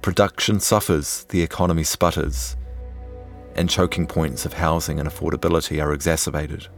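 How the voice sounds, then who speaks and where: A man reading scripted documentary narration in a measured, serious storytelling manner. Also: very deep voice, steady tone, creaky, soft background music. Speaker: journalist narrator.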